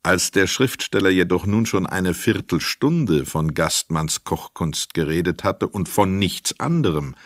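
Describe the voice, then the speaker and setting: Deep-voiced male narrator, dry studio. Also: a bit bright, no echo. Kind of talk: scripted literary narration for a radio play.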